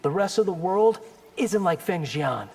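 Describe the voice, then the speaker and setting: Defiant young warrior, reverberant. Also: male voice, high-pitched, expressive, a little slow, vocal fry, darkish tone, soft background music, heavily acted. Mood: defiant, wry. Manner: dry, defiant, self-assured. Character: defiant, wry young male crime-fighting warrior. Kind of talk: fighting game dialogue acting.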